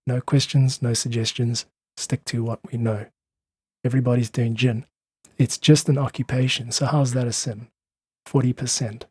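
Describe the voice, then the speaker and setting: A man's voice: mid-range voice, mellow, breathy edge, dry recording. A man talking as a musician guest on a podcast, reflective and earnest. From South Africa. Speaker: interview guest, independent musician. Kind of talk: reflective conversational podcast talk.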